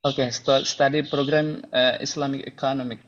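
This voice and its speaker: A young man from Indonesia, a university student speaking in an online debate, slow and searching for words. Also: medium pitch, level tone, slight background noise. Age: 20s. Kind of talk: casual debate contribution. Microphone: video call. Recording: dull lo-fi call audio.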